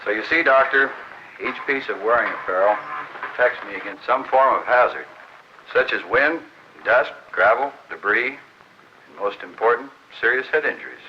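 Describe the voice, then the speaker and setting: A man's voice: mid-range voice, moderate pace, natural voice. An American man acting in a 1960s training film as an earnest motorcycle rider who patiently explains safety. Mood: earnest, instructive. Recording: dull, noisy 1960s film soundtrack.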